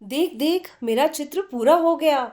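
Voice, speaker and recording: A woman voicing a lively, proud young female art student in a read story, playfully bossy, insistent and teasing. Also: sits high, a little slow. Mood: excited, insistent.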